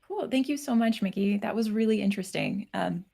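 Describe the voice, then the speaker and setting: A soft-spoken woman, a guest comic creator on a video call, telling a personal story in a hesitant, casual way with many fillers. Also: medium pitch, lively intonation, a little fast, gravelly. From United States.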